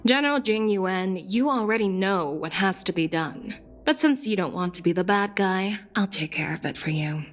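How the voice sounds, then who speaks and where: A woman's voice: mid-range voice, moderate pace, lightly acted. A woman voicing a confident, candid female general in a video game, assured, formal and articulate. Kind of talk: scripted video game dialogue. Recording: game music behind the voice.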